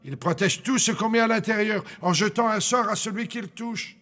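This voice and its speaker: A man's voice: sits high, moderate pace, fairly bright tone. A man voicing an eager, flustered hotel employee in a French cartoon, hesitant, obliging and theatrical. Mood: explaining urgently. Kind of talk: French cartoon dub acting.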